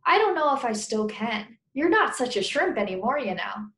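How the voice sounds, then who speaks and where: A woman reading aloud as an easygoing, modest father who talks casually and teases his son gently. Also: on the high side, big pitch swings, moderate pace, roomy sound. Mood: modest, teasing. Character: easygoing, modest, teasing dad of a schoolboy. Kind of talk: children's book read-aloud.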